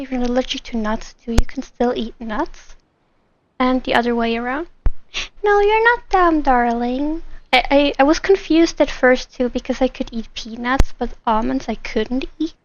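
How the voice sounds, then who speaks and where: A woman's voice: high-pitched, dull audio, slight background noise. A giggly woman chatting casually with viewers while she livestreams a game. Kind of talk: casual chat with viewers during gameplay. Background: game music.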